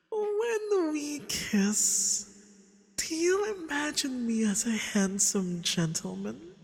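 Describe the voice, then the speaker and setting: Seductive femboy vampire acted by a man, possessive, very slow, breathy coaxing whispers, swooping intonation, ASMR roleplay, long reverb. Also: extremely high pitch, full character voice. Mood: tender, possessive. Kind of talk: intimate ASMR roleplay acting. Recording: long reverberant hall echo.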